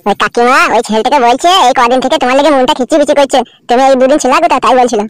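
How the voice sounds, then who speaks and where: Whiny tattletale village lad played by a man: high-pitched, very fast, pleading. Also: fairly bright tone, dry recording. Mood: insistent. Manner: pleading, insistent, complaining. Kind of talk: comedy cartoon dialogue.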